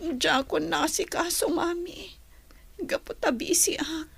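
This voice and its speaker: Woman playing ailing migrant-worker daughter, radio drama, very high voice, drawn-out plaintive delivery, weary and pained. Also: creaky, a bit bright, exaggerated voice. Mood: weak, pained. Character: sick, weary daughter flying home from working abroad. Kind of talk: radio drama acting in Ilocano.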